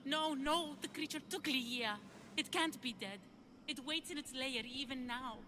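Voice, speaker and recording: A woman's voice: high voice, normal speed, background noise, close to an everyday voice. A woman playing a devoted, anxious lover in a video game, earnest and urgent. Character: devoted, anxious woman searching for her lost love. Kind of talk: dramatic video game acting.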